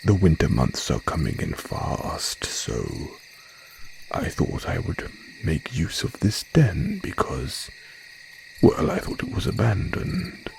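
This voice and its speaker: Man playing a male werebear, low gravelly voice, hugely swooping intonation, genteel, condescending flirt, audio roleplay. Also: wildly swooping pitch, slight background noise, exaggerated voice. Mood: suave, reassuring. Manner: slow, drawn-out, genteel and condescending. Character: smooth, haughty male werebear, courteous yet predatory flirt. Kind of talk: audio roleplay monologue addressed to listener.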